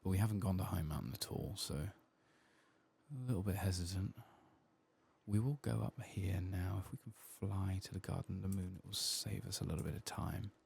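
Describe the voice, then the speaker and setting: A man giving soft-spoken ASMR commentary as he plays a game, quiet and relaxed, murmuring to himself. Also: low voice, normal speed, creaky, slightly rough. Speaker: gaming ASMR host.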